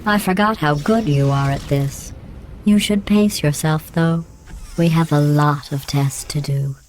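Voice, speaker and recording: A woman voicing a sardonic female artificial intelligence in a video game, deadpan and falsely polite, with a synthetic robotic sound. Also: low voice, a little slow. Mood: deadpan mockery. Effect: synthetic robotic voice processing. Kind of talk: scripted video game voice acting. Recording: echoey game audio, clearly noisy background.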